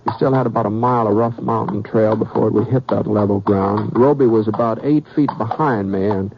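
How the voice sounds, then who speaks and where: A man's voice: on the low side, fairly even intonation, fairly quick, vocal fry. An American actor playing a terse, plain-spoken frontier lawman who tells his own story in a 1950s western radio drama. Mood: measured narrating. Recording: muffled old radio recording with background hiss.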